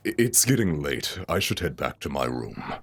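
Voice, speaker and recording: A man's voice: a bass voice, sing-song, creaky, slightly rough, soft background music, exaggerated voice. An actor playing a proud, hot-tempered but tender king of evil in a theatrical comic dub. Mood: calm. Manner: theatrical, slow and deliberate. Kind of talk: dramatic comic-dub voice acting.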